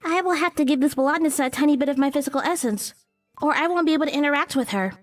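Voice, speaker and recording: A woman playing a bossy young witch in a visual novel, giving brisk instructions. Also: high voice, recorded from a distance, faint music behind, natural voice. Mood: bossy, serious. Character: bossy young female witch casting guarding spells. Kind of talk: visual novel character dialogue.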